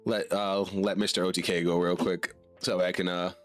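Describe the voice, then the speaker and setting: A man's voice: low-pitched, flat delivery, normal speed, soft background music. A man streaming casually to his chat while congested and sick, laid-back and swearing now and then.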